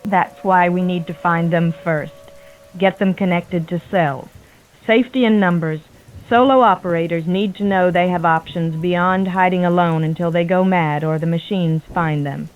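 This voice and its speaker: A woman's voice: on the low side, steady tone, moderate pace. A woman playing a compassionate, resolute survivor radio operator, earnest and firm, through a radio filter. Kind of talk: post-apocalyptic audio drama acting. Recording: tinny phone-like radio, faint music.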